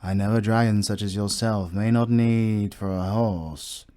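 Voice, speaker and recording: A British man playing a proud, courteous army captain in a fantasy audio roleplay, speaking formally and with measured care. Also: lowish voice, fairly even intonation, warm tone, lightly acted. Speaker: voice actor.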